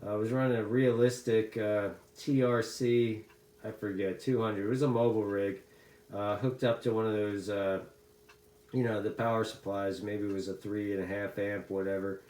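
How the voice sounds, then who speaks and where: A man's voice: lowish voice, steady tone, normal speed, a little mellow. A middle-aged American man chatting casually as a CB radio hobbyist, talkative and given to repeating his phrases. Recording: echoey room sound.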